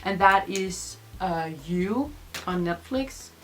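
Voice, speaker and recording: A woman's voice: mid-range voice, expressive, slow pace. Young woman from Denmark, Danish native, rambling casual vlog chat, lots of fillers. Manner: rambling, many fillers and restarts. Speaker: vlogger. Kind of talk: casual chat answering tag questions.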